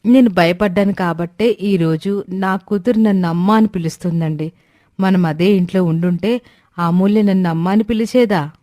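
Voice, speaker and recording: Woman acting a mellow, possessive young mother, anguished. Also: fairly low pitch, normal speed, dry recording, studio quality, natural voice. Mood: emotional, relieved. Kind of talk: dramatized Telugu family moral story.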